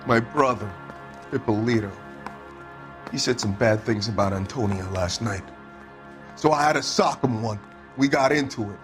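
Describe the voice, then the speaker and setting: An actor playing a defensive, hot-tempered husband under police questioning in a crime video game, answering bluntly. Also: male voice, lowish voice, moderate pace, long pauses, faint music behind, natural voice. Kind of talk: acted dramatic video game dialogue.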